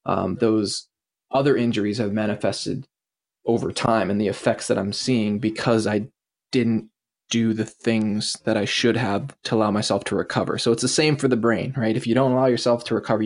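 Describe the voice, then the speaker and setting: A man's voice: on the low side, vocal fry. A man in his late twenties, a former athlete, answering casually with lots of hesitant fillers. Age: 20s. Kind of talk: interview answer.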